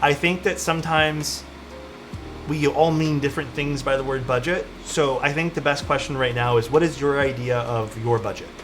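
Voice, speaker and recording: A man's voice: mid-range voice, normal speed, background noise. A young man with a Toronto Canadian accent, chatting casually with his livestream audience while soldering a keyboard. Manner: easygoing, chatty, answers chat while soldering.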